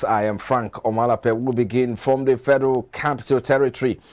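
A middle-aged man with a Nigerian accent reads scripted news as an anchor in a formal, measured way. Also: lowish voice, darkish tone. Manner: formal, measured announcer delivery. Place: TV news studio.

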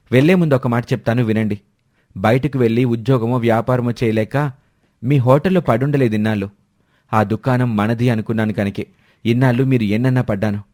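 A man's voice: medium pitch, a little mellow, dry recording, clean studio sound, close to an everyday voice. Man playing a protective, earnest elder brother. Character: dutiful, protective grown elder son and husband. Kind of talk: animated moral story acting.

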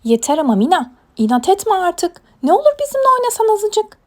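A woman's voice: high voice, expressive, moderate pace, mellow. A woman voicing small, nagging animal housemates in a children's storybook, coaxing and bossy in an emphatic way.

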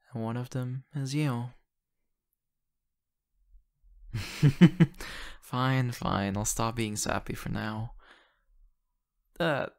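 A man playing an excitable, sappy young boyfriend in an audio roleplay, talking in a gushing, hesitant, stammering way into a close mic. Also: medium pitch, vocal fry. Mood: sappy, affectionate, then hurried. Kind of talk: ASMR audio roleplay, conversational acting. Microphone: close condenser microphone.

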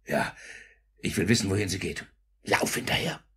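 An actor playing a brutal, suspicious burglar in a 1950s German radio play, gruff and domineering with a rough voice. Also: male voice, deep voice, lively intonation, normal speed, a bit bright, heavily acted. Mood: menacing. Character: brutal, suspicious, hot-tempered male burglar thug.

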